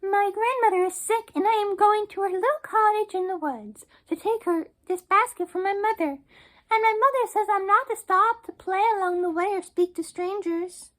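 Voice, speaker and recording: Woman doing a very high, innocent little girl. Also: normal speed, a bit bright, poor audio. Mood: earnest. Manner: childlike, earnest.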